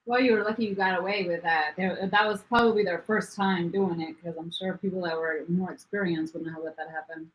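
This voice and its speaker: A woman co-hosting a casual podcast, talking quickly and laughing easily. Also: fairly low pitch, fairly even intonation, rough recording. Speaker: podcast co-host.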